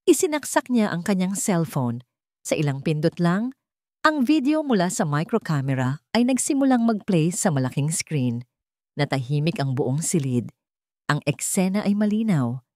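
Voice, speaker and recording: Low-voiced woman telling a dramatic story. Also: very animated, moderate pace, a little mellow, no echo, clean studio sound. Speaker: storyteller, narrator. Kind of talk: dramatic scripted story narration.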